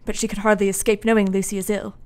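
A woman's voice: medium pitch, level tone, quick pace, lightly acted. Woman as grave Victorian doctor, noise behind. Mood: concerned. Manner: formal, literary, reflective. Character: grave, heartsick asylum doctor of Victorian London, a man. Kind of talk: radio play acting, diary narration and dialogue.

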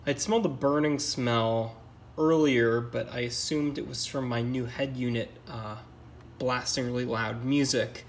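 Male DIY car vlogger, average pitch, hesitant and matter-of-fact, casual workbench demo. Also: steady tone, a little slow, slight background noise. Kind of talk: casual hands-on explanation to camera.

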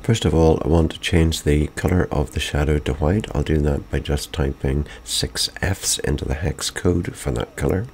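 A man, a video editing tutor, calmly walking step by step through a software tutorial over a screen recording, his voice slightly croaky after the flu. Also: extremely low pitch, normal speed, few pauses, slight background noise. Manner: calm, methodical step-by-step explaining.

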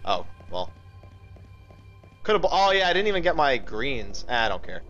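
Man, casual game streamer, medium pitch, thinking aloud, sweary, game music behind. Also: sing-song, normal speed, a bit bright, dull audio. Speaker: livestream host playing a video game. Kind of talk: casual live gameplay commentary, chatting with viewers.

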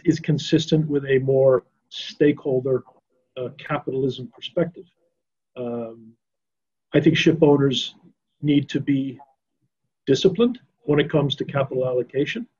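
An older man talks through his views in an unscripted online business discussion. His voice is mellow and a little husky. He goes in a measured, halting way, repeating words, over slightly muffled video-call sound. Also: mid-range voice.

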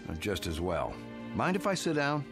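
A blunt, suspicious brother-in-law. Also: male voice, on the low side, huge pitch swings, normal speed. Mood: probing. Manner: blunt, probing, accusing. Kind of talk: 1960s TV drama acting. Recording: vintage TV soundtrack with music underneath.

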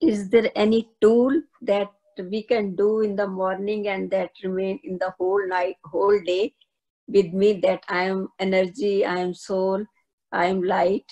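A woman taking part in a workshop, asking earnestly in slightly halting phrasing. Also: mid-range voice, level tone, mellow, low-quality recording. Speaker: workshop participant. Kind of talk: asking a question in a group call.

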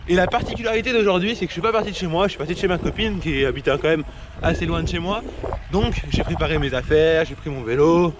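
A chatty young man in his twenties talks to his viewers from a bike-mounted action camera as he rides. His voice sits high for a man, and loud wind and traffic noise run under it on the open road. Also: fast talker. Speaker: cycling vlogger. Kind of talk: casual vlog to camera while riding. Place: outdoors on a road bike, rainy roads.

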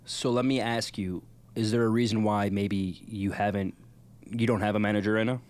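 A man's voice: fairly low pitch, fairly even intonation, moderate pace, darkish tone, smooth. A man from Illinois hosting a podcast interview, upbeat and slangy, cheering his guest on. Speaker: podcast host. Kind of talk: casual interview chat. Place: podcast studio. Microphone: studio boom mic, headphones.

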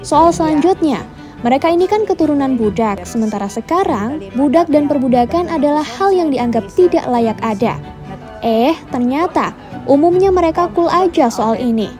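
A woman giving scripted, measured documentary narration as a voice-over. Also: high voice, lively intonation. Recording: voice-over with background music.

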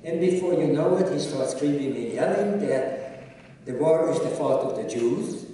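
An older man from Czechoslovakia whose first language is Hungarian, telling his life story as a guest speaker on an auditorium stage, in a measured storytelling way that speaks straight to the audience. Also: on the high side, expressive, dark tone, slight background noise. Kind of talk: personal testimony talk. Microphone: handheld mic, recorded from audience. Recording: distant echoey auditorium recording.

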